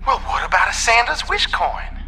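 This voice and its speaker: A man's voice: extremely high pitch, very animated, moderate pace, bright, forceful tone, full character voice. A man voicing a sassy, catty, campy robot sidekick in a comic sci-fi audio drama. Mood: chatty. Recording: clearly noisy background.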